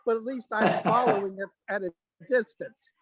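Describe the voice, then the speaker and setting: A man's voice: high voice, moderate pace, fairly bright tone, no room sound. A man in his sixties chatting casually as an attendee of a meeting. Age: 60s. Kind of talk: casual chat.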